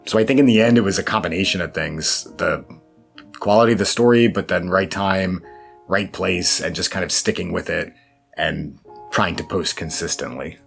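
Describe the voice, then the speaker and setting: A man's voice: low voice, normal speed, creaky, a bit bright. A man giving reflective interview answers in a thoughtful, self-deprecating way with wry asides. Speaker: interview guest, horror fiction writer. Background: background music.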